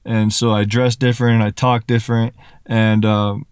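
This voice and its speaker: A man who is a rapper and YouTuber, telling stories in a relaxed way with casual swearing on a podcast. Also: on the low side, moderate pace, clear, resonant tone, dry recording, studio quality.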